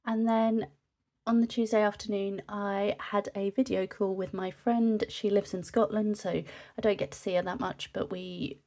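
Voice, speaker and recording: A young woman from the UK, a scrapbooking vlogger narrating casually, her voice nasal from a cold, chatting in a relaxed way with frequent ums. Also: mid-range voice, normal speed. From United Kingdom.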